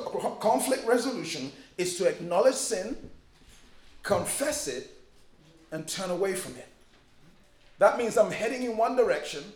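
Liberian-born pastor, high-pitched, emphatic and humorous sermon delivery, roomy hall sound. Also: male voice. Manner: emphatic preaching with humor and repetition.

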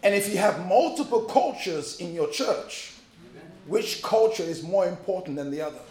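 Liberian-born pastor, high-pitched, emphatic and humorous sermon delivery, roomy hall sound. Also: male voice, expressive, normal speed, darkish tone. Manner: emphatic preaching with humor and repetition.

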